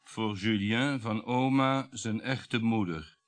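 Brooding, resentful husband. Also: male voice, lowish voice, slow pace. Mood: nostalgic, brooding. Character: weary, resentful husband devoted to his mother. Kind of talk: Dutch radio play acting.

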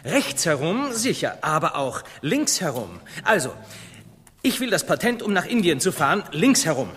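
An actor playing an eager, stubborn young explorer in a theatrical radio play, explaining with fervour. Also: male voice, on the high side, sing-song, moderate pace, a bit bright. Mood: insistent. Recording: long hall reverb, music behind.

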